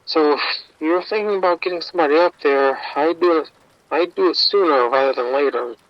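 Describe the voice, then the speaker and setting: A man's voice: fairly high pitch, a little fast, no room sound, highs cut off, natural voice. An actor playing a streetwise male bookie informant, wry and defensive, talking around the point in an audio drama.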